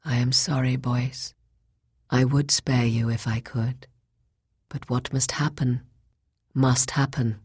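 Woman playing a quiet, grave, husky enchantress. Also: rumbling low, no room sound, studio quality, exaggerated voice. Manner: quiet, restrained, solemn. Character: grave, sorrowful, unyielding woman with terrible power.

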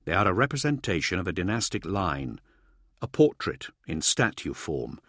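A man voicing a matter-of-fact, quietly authoritative male expedition leader in an audiobook, measured, firm and a little impatient. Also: low-pitched, very animated, no room sound, studio quality, heavily acted. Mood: firm, explanatory. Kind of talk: audiobook character dialogue.

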